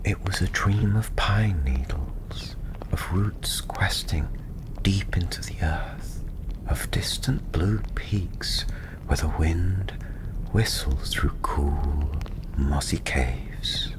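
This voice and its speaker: A man playing a welcoming fantasy tavern storyteller, speaking softly and soothingly in a gentle, half-whispered ASMR style over clear fireside ambience. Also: sits low, lively intonation, rough recording. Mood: hushed, soothing. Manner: hushed, gentle, unhurried, often half-whispered. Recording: clearly audible ambient background noise.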